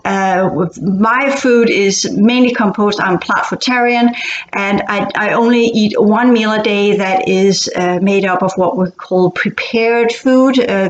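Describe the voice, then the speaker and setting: A woman from Denmark, not a native English speaker, talking casually as host and often pausing to search for words. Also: medium pitch, a little hiss or hum. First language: Danish. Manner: hesitant, searching for words with many fillers and restarts. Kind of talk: casual explanatory talk. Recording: echoey, dull-sounding room recording.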